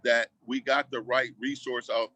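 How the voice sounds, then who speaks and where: Casting: American man, community advocate, bright punchy voice, dry close sound, casual but emphatic interview talk. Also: mid-range voice, level tone, a little slow, dry recording. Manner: emphatic, colloquial, repeats phrases for emphasis. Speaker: school district equity staff member and community advocate.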